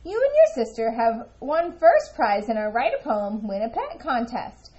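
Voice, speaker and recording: A woman puts on a cheerful storybook gentleman handing out a contest prize. The delivery is exuberant, high and very sing-song. The sound is slightly lo-fi. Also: big pitch swings, moderate pace. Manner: exuberant, congratulatory.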